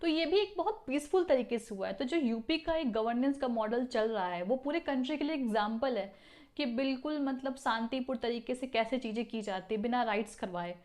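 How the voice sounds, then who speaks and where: A woman with a somewhat high voice talks to her viewers as a video host. Her delivery is casual and explanatory, like an informal chat. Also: animated, a little fast, darkish tone.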